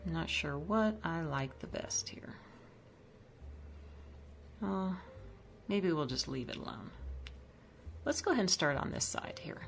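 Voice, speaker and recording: A woman's voice: low-pitched, animated, a little slow, creaky, pauses often, soft background music. A woman chatting casually as she decorates her home kitchen, thinking aloud about each piece. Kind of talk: casual voice-over demo.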